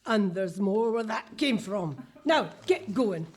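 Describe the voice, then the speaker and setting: A woman's voice: mid-range voice, lively intonation, takes it slowly, a little mellow. A woman playing a bossy, exasperated Scottish police superintendent in a comic radio play before a live audience.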